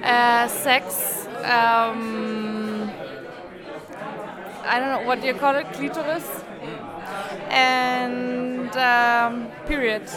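Woman in an interview, unhurried, music and crowd chatter behind her. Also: on the high side, fairly even intonation. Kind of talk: casual chat. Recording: crowded large hall with music.

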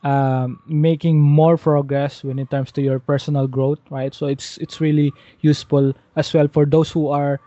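A man's voice: on the high side, level tone, normal speed, few pauses, mellow, no echo, lo-fi sound. A man hosting a casual interview in a rambling way, often saying "you know". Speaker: podcast host.

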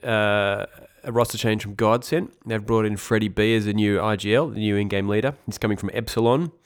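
A man's voice: fairly low pitch, clear, resonant tone. A young man hosting a casual podcast, congested with a cold, rambling and correcting himself with wry asides. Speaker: podcast host. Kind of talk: casual esports news podcast.

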